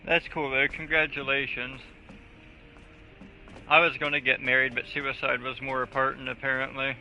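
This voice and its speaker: A man's voice: mid-range voice, flat delivery, moderate pace, pushed and bright. A man chatting casually on a gaming live stream in a crude, profane, joking way. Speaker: video game live streamer. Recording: dull lo-fi audio, faint music.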